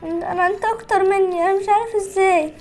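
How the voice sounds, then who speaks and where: A woman's voice: very high-pitched, fairly even intonation, fast talker, a little mellow, faint music behind. A woman with an Egyptian Arabic accent hosting casual, bantering gameplay in a whiny, pleading, competitive way. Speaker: gaming channel host.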